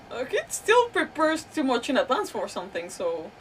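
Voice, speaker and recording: A woman streaming a game in a fairly high, very lively voice. She reacts casually, rambling and swearing mildly, a little away from the mic with faint music behind. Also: normal speed, distant mic, slight background noise. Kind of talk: casual live gameplay commentary.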